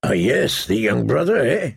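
A man's voice: mid-range voice, big pitch swings, unhurried, gravelly, breathy edge, no room sound, clean studio sound, heavily acted. A man voicing a boastful, lewd, wheedling pirate captain in an audiobook, with a theatrical, jovial manner and questioning tags. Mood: sly, joking. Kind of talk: audiobook character voice.

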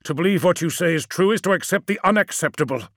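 A man's voice: on the high side, expressive, fast talker, fairly bright tone, no echo, studio quality, pitched above the performer's own voice. A man voicing a towering, wrathful demigod warlord with a fracturing mind, in imperious and anguished declamation for an audiobook. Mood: anguished confusion.

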